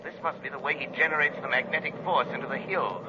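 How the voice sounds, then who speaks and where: An actor playing a commanding, quick-thinking mystery crime-fighter in an articulate, urgent 1930s radio drama style. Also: male voice, a little high, lightly acted. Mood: grave, explanatory. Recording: noisy, muffled 1930s radio recording.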